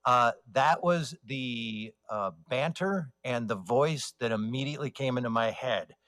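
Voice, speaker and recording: Halting, joking man in casual chat. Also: mid-range voice, fairly bright tone. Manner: joking, halting with false starts. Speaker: radio theater writer and voice actor, interview guest.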